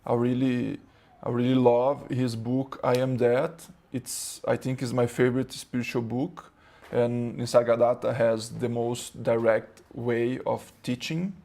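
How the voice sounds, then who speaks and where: A man with a Brazilian Portuguese accent, a spiritual teacher speaking to camera in English, his second language, halting and searching for words. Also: mid-range voice, level tone, gravelly, mellow.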